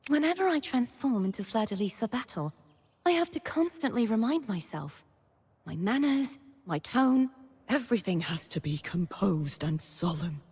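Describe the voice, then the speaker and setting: A young woman playing a gentle, earnest, wistful maiden heroine in scripted video game lines, soft-spoken and breathy. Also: a little high, animated, normal speed. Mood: candid, light. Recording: long reverb on the voice.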